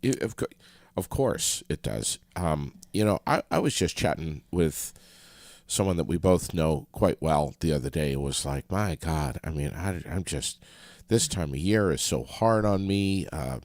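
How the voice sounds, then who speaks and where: A man with a very low, gravelly voice that swings widely in pitch, sounding stuffed up. He co-hosts a casual local radio chat, loose and joking. The studio sound is close and dry. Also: lively intonation, unhurried, a little mellow, no echo. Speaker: radio show co-host.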